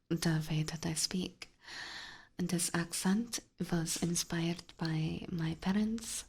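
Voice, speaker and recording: A young woman streamer whispering softly as she reads out and answers her chat, breaking into quiet laughs. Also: lowish voice, creaky, breathy edge. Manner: whispering, with frequent soft laughs. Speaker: live streamer reading chat. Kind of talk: soft-spoken whispered chat with viewers.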